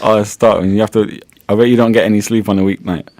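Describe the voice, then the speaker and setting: Guy hosting, lowish voice, chill and teasing, dry studio mic. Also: steady tone, fast, a little mellow, no room sound. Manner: easygoing, laughs often, teasing. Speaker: radio host. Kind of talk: casual interview. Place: radio studio.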